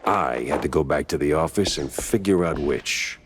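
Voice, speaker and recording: A man's voice: a bass voice, normal speed, background noise. A man playing a world-weary, wisecracking mouse private detective in a noir cartoon game, with a dry, sardonic delivery.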